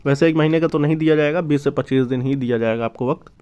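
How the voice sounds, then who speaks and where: A man speaks in a slightly high voice, explaining news informally like an online presenter. He restates his points as he goes. The recording sounds somewhat muffled and low in fidelity. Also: fast talker, a little mellow, smooth. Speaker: YouTube exam news presenter. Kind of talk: informal explanatory news update on job recruitment. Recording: dull, lo-fi sound.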